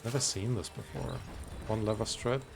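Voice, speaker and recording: A man giving casual let's play commentary, musing aloud in unhurried asides as he hosts a gaming video. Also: fairly low pitch, vocal fry, background noise.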